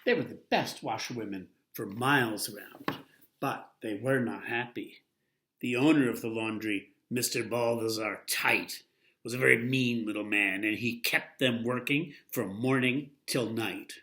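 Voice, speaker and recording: A man reading a picture book aloud as a storyteller, with a lively, expressive delivery. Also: medium pitch, measured pace.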